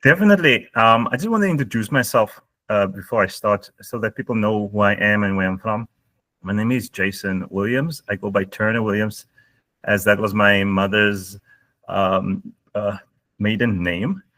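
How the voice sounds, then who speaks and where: An earnest man with a Cape Town South African accent, speaking on a panel. His voice is bright and forceful, and the sound is dry and close with no room around it. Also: fairly low pitch, moderate pace, no echo. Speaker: panel leader. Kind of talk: reflective personal talk on a panel.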